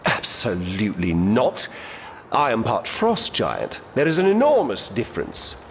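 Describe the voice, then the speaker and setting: A man's voice: lowish voice, wildly swooping pitch, a little slow, slight background noise, exaggerated voice. A man playing a scheming, arrogant trickster god-king in a video game, pompous and mocking. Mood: indignant.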